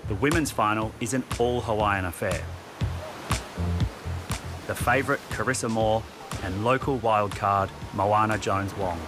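Low-voiced male narrator over music. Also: fairly even intonation, unhurried, pauses often. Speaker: documentary narrator. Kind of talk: scripted narration.

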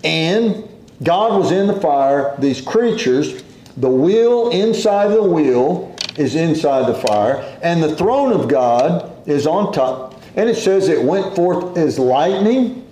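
Man preaching a sermon, folksy and unhurried, a bit higher voice, roomy echo. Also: lively intonation, mellow.

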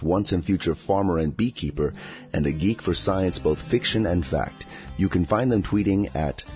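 A man reading a scripted introduction as the host of a podcast. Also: low-pitched. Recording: dull lo-fi sound with music behind. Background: music.

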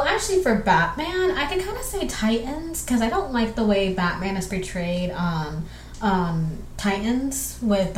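A young woman from southwestern Georgia with a Southern accent, hosting a casual solo podcast and rambling through listener questions with lots of fillers. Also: medium pitch. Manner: rambling, chatty, filler-heavy, quick bursts. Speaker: podcast host. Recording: echoey room with faint background noise.

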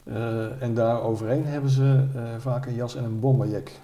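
A man's voice: medium pitch, steady tone, moderate pace, warm tone. A man giving informal expert explanations in an interview, thinking aloud in hesitant pieces. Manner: hesitant, piecing thoughts together. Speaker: expert interviewee, military historian. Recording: echoey room, faint noise.

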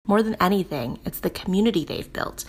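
A woman reading scripted documentary narration in a measured, polished way. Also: mid-range voice, animated, a little mellow, breathy edge. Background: background music.

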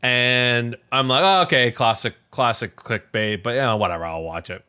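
A man hosting a podcast, casually recounting a story in a rambling, animated way. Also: medium pitch, normal speed, smooth. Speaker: podcast host.

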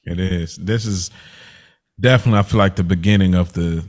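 A man's voice: low voice, steady tone, a little fast. A man with an American accent chatting casually and agreeably as a podcast co-host, in colloquial speech. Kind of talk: casual TV review podcast chat. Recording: long reverberant echo.

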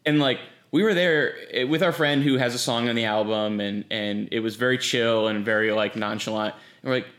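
A young man hosting a podcast, chatting casually in halting bursts with many restarts and "like". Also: mid-range voice, a bit bright. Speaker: podcast host. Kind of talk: casual chat.

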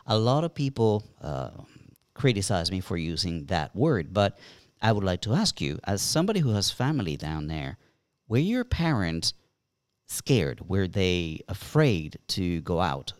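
A man's voice: low-pitched, very animated, measured pace, no echo, studio quality. A man co-hosting a casual discussion, opinionated and pressing his guest with pointed questions. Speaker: co-host.

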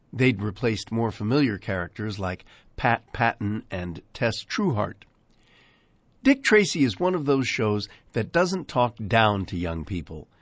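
A man's voice: medium pitch, no echo. An American man hosting a radio show, reading a scripted introduction to old-time programs.

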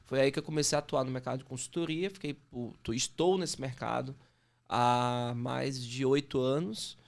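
A man's voice: medium pitch, fairly even intonation, gravelly. Man with a Bahian Brazilian accent, chatting informally. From Salvador, Bahia, Brazil. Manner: informal, halting with repeated self-corrections and slang. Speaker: podcast guest, sales consultant. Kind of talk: casual interview chat, telling career story.

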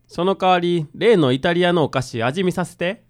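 A woman's voice: on the low side, moderate pace, close to an everyday voice. A woman playing a cocky, casual odd-job man with a Kansai accent in a comic radio drama. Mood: breezy. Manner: casual, cocky, rough masculine speech. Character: brash, boastful odd-job man who loves sweets.

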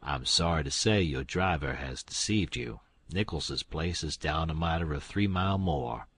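A man voicing a kindly old gentleman farmer from the South, courteous and unhurried. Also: a bass voice, lively intonation, slightly rough, no room sound, pitched below the performer's own voice, exaggerated voice. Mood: hospitable.

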